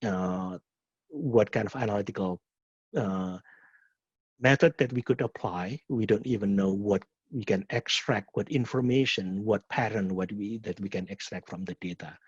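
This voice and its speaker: A middle-aged man from Indonesia with an Indonesian accent and a husky voice, a professor answering questions at a webinar in a halting way, often repeating words and restarting. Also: on the low side. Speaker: professor and program director. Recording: muffled, echoey webinar audio.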